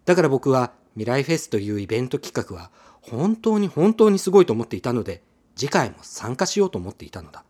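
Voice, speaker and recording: A man's voice: medium pitch, very animated, fast talker, a little mellow, husky. A man narrating a radio drama in the first person, telling it expressively as a scripted story.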